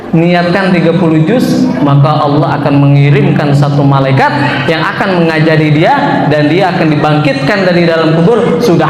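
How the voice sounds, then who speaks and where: A man giving a lively sermon to a congregation, quoting Arabic and translating it, and joking with the crowd. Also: high-pitched. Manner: animated, quotes Arabic then translates, jokes with the audience. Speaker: Islamic preacher. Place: large mosque or hall, in front of a live audience. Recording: echoey hall, roomy live PA sound.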